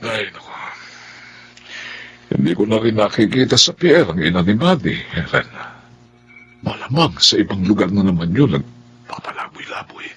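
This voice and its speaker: A man acting a concerned, resigned older husband in a Filipino radio drama, folksy and expressive. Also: on the low side, moderate pace, long pauses, slight background noise.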